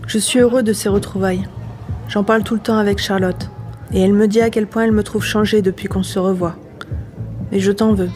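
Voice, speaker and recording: A woman's voice: medium pitch, fairly even intonation, fairly quick, darkish tone, lightly acted. A woman acting a wounded, reproachful grown child in an audio drama. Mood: hurt, reproachful. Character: hurt, reproachful grown child refusing to forgive. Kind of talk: novel adaptation read as audio drama. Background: faint music.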